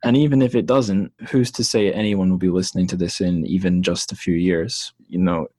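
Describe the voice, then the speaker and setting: Low-voiced man hosting a podcast. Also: steady tone, brisk, mellow, no room sound. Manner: thoughtful, self-deprecating, laughs often. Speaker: podcast host. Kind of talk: casual interview conversation.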